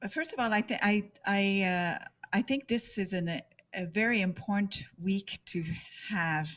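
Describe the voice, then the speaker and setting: A woman moderating a panel discussion, speaking haltingly with many restarts and fillers. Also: medium pitch, a bit bright.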